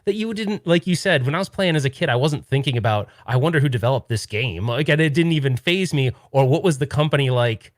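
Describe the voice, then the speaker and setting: A man's voice: mid-range voice, expressive, quick pace, hardly any pauses, no echo. Rambling male podcast host, dry sound. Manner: rambling, self-interrupting, laughs easily. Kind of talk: casual podcast chat.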